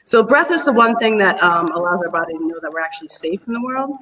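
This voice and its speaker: A man's voice: sits high, lively intonation, quick pace, pushed and bright, recorded from a distance, highs cut off. A man talking earnestly about breathing as a teacher on a panel discussion, rambling a bit and laughing often.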